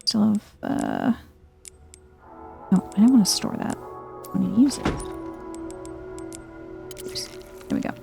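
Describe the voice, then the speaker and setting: Soft-spoken woman, low-key gaming ASMR. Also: huge pitch swings, slow pace, vocal fry, long pauses, faint music behind. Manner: soft-spoken, chill, no yelling. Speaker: gaming video host. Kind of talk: soft-spoken ASMR game playthrough commentary.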